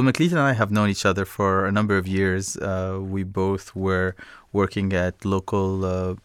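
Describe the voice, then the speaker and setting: A middle-aged man from Egypt, a guest in an interview, answering in a thoughtful, measured way with many fillers. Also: low-pitched, fairly bright tone, clean tone, dry recording. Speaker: guest, music project co-founder.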